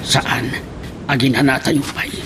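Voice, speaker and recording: A man's voice: mid-range voice, flat delivery, measured pace, noisy background, lightly acted. An actor playing an earnest older village farmer and father with a Filipino accent in a radio drama. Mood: cautious, worried.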